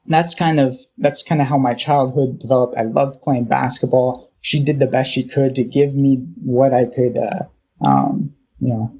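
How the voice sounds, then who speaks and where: A man from Southern California with a Californian accent, telling his personal story as an interview guest, halting and often pausing mid-phrase. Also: mid-range voice, mellow, breathy edge. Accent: Californian American. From Southern California, United States. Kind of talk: reflective personal storytelling in conversation.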